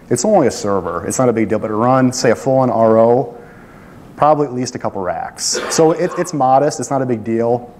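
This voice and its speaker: A man's voice: medium pitch, a little mellow, a little hiss or hum. A man presenting a technical project at a conference and taking questions, talking casually with many fillers and self-deprecating asides. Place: conference room.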